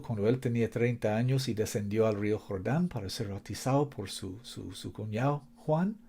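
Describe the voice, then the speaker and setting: Male preacher, non-native Spanish, mellow voice, earnest, repeats points, reads scripture. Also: medium pitch. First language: not Spanish. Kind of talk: Bible teaching in Spanish as a second language.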